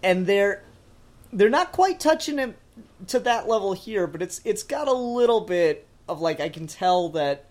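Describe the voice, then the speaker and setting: A man's voice: high-pitched, expressive, unhurried. A man hosting a casual fan review discussion, talking in an enthusiastic, opinionated, analytical way. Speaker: podcast host.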